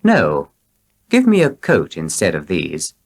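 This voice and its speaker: A canny, self-assured storybook trickster read by a man explains himself in a low voice. He is emphatic and matter-of-fact, never hurried. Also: huge pitch swings, heavily acted. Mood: indignant reasoning.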